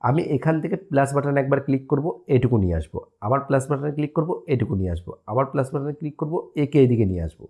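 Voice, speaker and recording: Brisk, mellow-voiced man giving a tutorial. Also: medium pitch, animated. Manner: explanatory step-by-step, often checking in with listeners. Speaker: tutorial host. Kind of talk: casual screen-recorded app tutorial.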